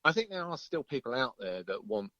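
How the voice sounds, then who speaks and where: A man's voice: medium pitch, big pitch swings, brisk, a crowd in the background. A middle-aged man, a musician being interviewed, chatting casually in a hesitant, thoughtful, polite way over a lo-fi line.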